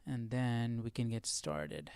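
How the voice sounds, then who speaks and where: A man's voice: mid-range voice, completely flat delivery, slow, gravelly, a little mellow, smooth. A man hosting a casual live study session, thinking aloud in a tentative, questioning way. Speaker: livestream Bible study host.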